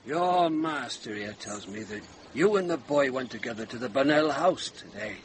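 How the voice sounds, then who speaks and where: An actor playing a stern, accusing medieval sergeant in a radio drama, questioning in a clipped way. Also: male voice, medium pitch, animated, normal speed, slight background noise, lightly acted. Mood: stern, triumphant. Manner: clipped, interrogating. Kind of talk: British radio drama acting.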